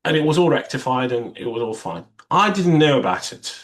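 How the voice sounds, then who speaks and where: A man's voice: mid-range voice, sing-song, fairly quick. A young man whose first language is Arabic, a surgeon being interviewed, speaking in a reflective way with many restarts and quoted asides. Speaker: vascular surgeon, interview guest.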